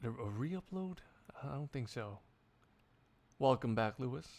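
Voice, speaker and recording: A man's voice: mid-range voice, expressive, measured pace, vocal fry, a little mellow. A man chatting casually on a livestream in a rambling way, swearing here and there. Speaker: streamer doing a one-man live fan dub.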